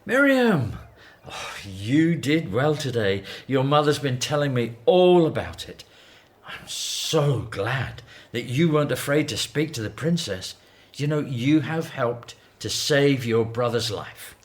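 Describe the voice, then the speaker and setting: Expressive, devout Hebrew father. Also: mid-range voice, slow pace. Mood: proud, delighted. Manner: earnest, expressive. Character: devout, anxious Hebrew father in ancient Egypt. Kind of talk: biblical radio drama acting.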